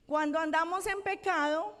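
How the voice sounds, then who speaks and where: A woman's voice: very high-pitched, steady tone, normal speed, fairly bright tone. A woman pastor from Colombia with a Colombian accent, preaching a conversational sermon and checking in with her congregation. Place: church sanctuary. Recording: long church-hall reverb.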